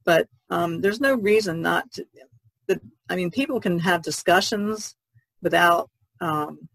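A woman with a gravelly creak in her voice, recorded dry and close. She speaks as a podcast panelist in a casual, informal way, softening her points as she goes. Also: lowish voice, measured pace, dry recording.

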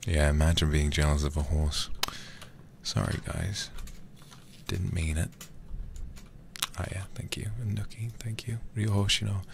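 Deep, gravelly, soft-spoken male streamer. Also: steady tone, measured pace, pauses often, background noise. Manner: murmuring, often dropping into whispers, talking to himself. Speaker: livestreamer. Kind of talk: soft, casual ASMR gaming stream chat.

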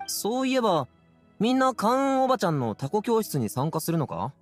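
A man's voice: high voice, very animated, fairly quick, faint music behind. A man voicing a friendly, upbeat young man in an anime-style game, talking in a breezy, boyish, informal way. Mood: friendly, bashful.

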